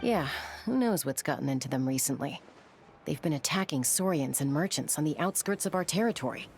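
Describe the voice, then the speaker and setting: Low-voiced, confident game warrior. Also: female voice, lively intonation, a little fast, a little mellow. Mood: assured, resolute. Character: confident, protective young female warrior on patrol. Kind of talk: video game voice acting. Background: game music.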